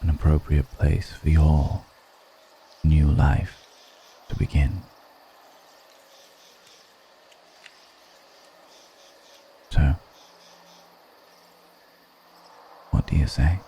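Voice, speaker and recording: Man as a very deep, slow, seductive vampire lord. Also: steady tone, a little hiss or hum, heavily acted, rough recording. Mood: solemn, inviting. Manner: slow, deliberate, hushed, solemn. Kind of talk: intimate ASMR roleplay.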